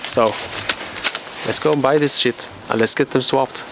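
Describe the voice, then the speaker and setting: A man's voice: medium pitch, fairly even intonation, a little slow. A man giving casual running commentary as he repairs his car outdoors, swearing freely and talking to himself. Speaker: vlogger doing car repairs. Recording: loud outdoor noise.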